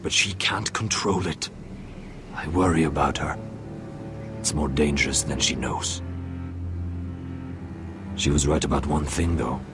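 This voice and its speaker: An actor playing a grave young northern warrior, measured and worried as he speaks. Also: rumbling low, fairly even intonation. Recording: game audio with music score.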